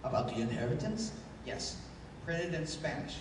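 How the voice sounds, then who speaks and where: An actor playing a calm, commanding, idealistic adventure hero in 1930s radio style, speaking in a formal, measured, declamatory way. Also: male voice, a little high, lightly acted. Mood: grave, resolute. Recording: echoey hall from far off, background noise.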